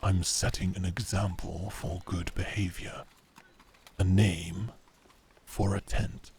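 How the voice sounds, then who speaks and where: A man playing a stern, commanding medieval knight with a gravelly, husky voice and formal, curt speech in a roleplay audio drama. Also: low-pitched, fairly even intonation, takes it slowly, darkish tone, slight background noise.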